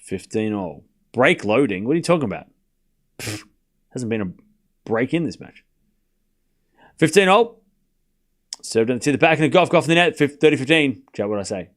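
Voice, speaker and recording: A man's voice: mid-range voice, big pitch swings, moderate pace, long pauses. A man with an Australian accent, giving casual live tennis commentary and teasing his chat. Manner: teasing, boastful, talks straight to chat.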